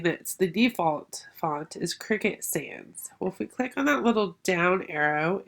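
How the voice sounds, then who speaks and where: A middle-aged woman hosting a craft tutorial, explaining software step by step in a friendly way, sounding congested from a sinus infection. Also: lowish voice, vocal fry. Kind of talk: screen-share software tutorial.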